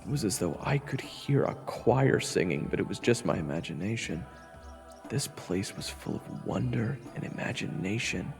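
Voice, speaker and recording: A man narrates a scripted fantasy tale in a low voice with a gravelly fry. The voice carries a long reverb, and faint music plays behind it.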